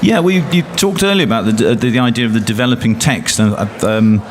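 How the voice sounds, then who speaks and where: A man's voice: mid-range voice, quick pace. A man, a poet speaking on a panel, hesitant with many restarts and fillers. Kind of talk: panel discussion. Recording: loud traffic noise behind.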